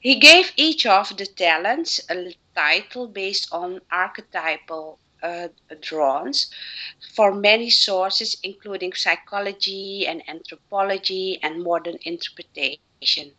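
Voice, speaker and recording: A middle-aged woman from Suriname whose first language is Dutch, speaking as a guest on personal development and pausing often to find her words. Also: mid-range voice, bright, forceful tone, rough recording. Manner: earnest, with frequent fillers and restarts. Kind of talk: interview answers.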